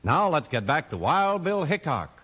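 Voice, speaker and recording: A man's voice: on the high side, big pitch swings, moderate pace, fairly bright tone, slightly rough. A man announcing and narrating in upbeat, folksy 1950s radio style.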